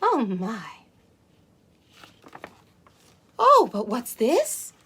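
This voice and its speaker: A sweet, wide-eyed unicorn, played by a woman, tells a picture-book story to children. Her voice sits fairly high and swoops up and down with exaggerated wonder. She takes her words very slowly, cooing over them. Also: wildly swooping pitch, fairly bright tone, heavily acted. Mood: enchanted, cooing. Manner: breathy gasps, cooing wonder, exaggerated emphasis.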